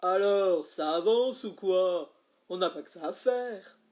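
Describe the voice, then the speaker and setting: A woman reading a storybook, voicing an impatient man in a queue who grumbles loudly and sarcastically. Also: lowish voice, animated, takes it slowly. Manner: loud, sarcastic.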